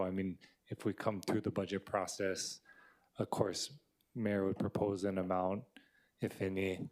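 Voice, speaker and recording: A council member asking officials measured, polite questions at a hearing. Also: male voice, low-pitched, darkish tone.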